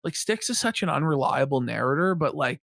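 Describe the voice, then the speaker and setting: Casting: animated male co-host, mid-pitched, scornful and sweary, reacting casually in a dry space. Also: fairly quick, gravelly, no room sound. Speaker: show co-host. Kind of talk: casual profane reaction commentary.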